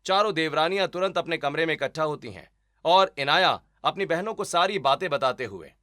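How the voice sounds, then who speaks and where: Male storyteller, bright forceful delivery, fairly high pitch, expressive dramatic family-story narration. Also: brisk.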